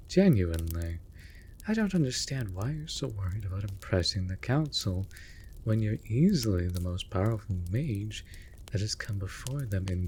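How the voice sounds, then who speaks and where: A low-pitched male raven familiar acted by a man speaks over faint background noise. He is formal and theatrical, an exasperated but devoted servant in a fantasy audio drama who chides because he cares. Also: a little mellow. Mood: exasperated, scolding. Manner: theatrical, formal, scolding yet caring. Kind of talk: scripted fantasy audio roleplay.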